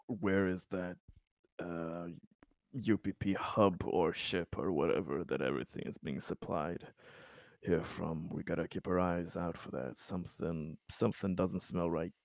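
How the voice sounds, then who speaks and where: A man's voice: deep voice, fairly even intonation, a little slow, creaky, no echo. A man playing a tabletop roleplaying game, chatting casually in character with wry humour.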